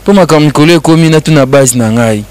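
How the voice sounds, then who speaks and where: A man's voice: fairly high pitch, brisk, darkish tone, clear, resonant tone. A man hosting a talk show, introducing his guest in a rambling, chatty way. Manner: chatty, rambling, switching languages freely. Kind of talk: casual chat show hosting.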